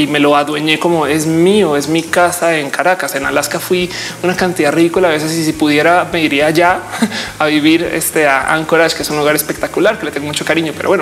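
A woman in her thirties chatting casually to camera as a YouTuber, informal and reflective, wandering off on tangents. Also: low voice. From Colombia. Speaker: YouTuber host answering viewer questions. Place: indoors, small office.

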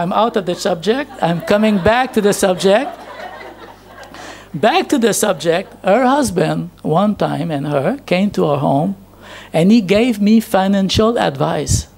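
Gravelly-voiced man preaching at a pulpit. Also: high voice, a little hiss or hum. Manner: storytelling, chatty, laughs at himself. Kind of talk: anecdotal sermon. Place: church hall with projection screen. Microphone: handheld microphone.

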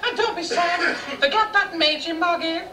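A woman playing a cheeky, bawdy pantomime character, projecting rhymed lines with deliberate comic delivery on an amateur stage. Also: very high-pitched. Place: small bar stage indoors. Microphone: distant camera. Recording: very noisy, echoey bar stage from far off.

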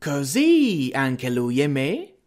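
A woman's voice: extremely low pitch, wildly swooping pitch, drawn-out words, a little mellow, slight background noise, full character voice. A woman voicing an indignant, confrontational animatronic bear hero in a cartoon parody dub. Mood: irritated. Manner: confrontational, exclamatory.

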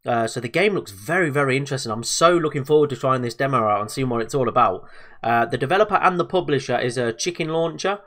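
A man's voice: mid-range voice, fast, a bit bright. A man with a slightly stuffed-up voice from a cold, hosting casual let's-play commentary in a chatty, hesitant way. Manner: chatty, hesitant, lots of fillers and self-talk. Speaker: gaming video host.

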